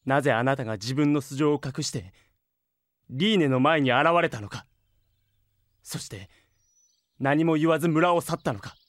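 An actor playing an anxious, earnest young villager who speaks in urgent, halting, pleading phrases in an anime audio drama. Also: male voice, mid-range voice, no room sound, studio quality, close to an everyday voice.

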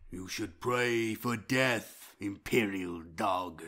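A man voicing a cruel, menacing Chaos warrior in an audiobook, speaking in a slow, contemptuous growl. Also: fairly low pitch, pushed and bright, voice pushed down, heavily acted. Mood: menacing contempt. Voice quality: growling, guttural.

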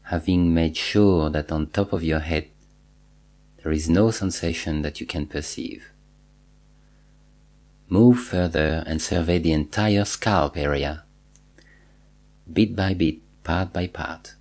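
A man guiding a body scan meditation, giving measured instructions in short phrases with pauses and repetition. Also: low-pitched, darkish tone. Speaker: meditation teacher.

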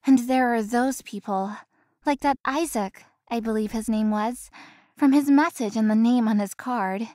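A high, closely recorded young female florist from a visual novel, acted by a woman. She is courteous and hard-working, and speaks with very little room sound. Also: measured pace, darkish tone, no echo, clean studio sound, natural voice. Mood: wistful, subdued. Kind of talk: visual novel game acting.

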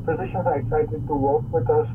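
A man's voice: a little high, steady tone, a little fast, noisy background. A man, a doctor, speaking in an earnest, explanatory way in a recorded radio clip. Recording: distant tinny phone-like clip.

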